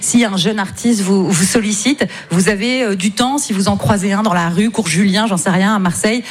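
A woman's voice: medium pitch, normal speed, breathy edge. A woman presenting as a radio host, interviewing live outdoors at a festival.

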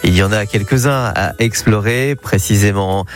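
A man hosting a local radio show, running a call-in game in a chatty, jovial way, his pitch leaping up when he gives answers. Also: moderate pace. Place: radio studio. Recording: radio broadcast with faint music bed.

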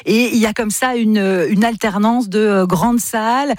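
A woman's voice: fairly high pitch, normal speed, a little mellow, dry recording, studio quality. A woman hosting a conversational interview as a radio presenter.

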